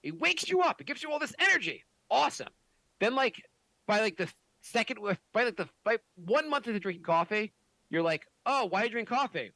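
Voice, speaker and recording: A man hosting a podcast, chatting casually, animated and swearing often with many restarts. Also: high-pitched, brisk, dry recording. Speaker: podcast host. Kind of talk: casual chat.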